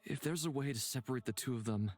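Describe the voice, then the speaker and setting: A man playing a solemn, compassionate wielder of soul magic in a visual novel, speaking in a grave, measured, dramatic way. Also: mid-range voice, a little mellow, close to an everyday voice. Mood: solemn regret. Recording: music behind the voice.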